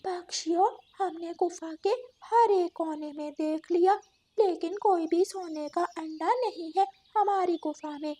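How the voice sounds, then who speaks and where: A woman's voice: extremely high pitch, measured pace, dark tone, voice pushed up. A woman voicing a sly, vengeful female fox in a children's cartoon, coaxing and theatrical. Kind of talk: Hindi children's cartoon story acting.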